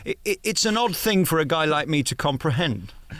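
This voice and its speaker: A man hosting a conversational interview, effusive and flattering with a teasing streak. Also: fairly high pitch, fairly quick, no echo. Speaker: interviewer, radio host.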